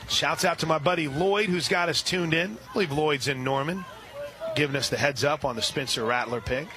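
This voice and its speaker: A man calling a softball game on the radio, describing each pitch and reading sponsor spots between plays. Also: brisk, crowd noise, noisy background. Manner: rises sharply and loud on big plays. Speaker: radio play-by-play announcer. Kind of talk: live softball play-by-play.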